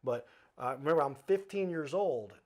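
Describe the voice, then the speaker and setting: A man's voice: a little high, expressive, moderate pace, darkish tone. A middle-aged man hosting a casual interview show, chatty and given to storytelling with asides and quoted dialogue. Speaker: talk show host.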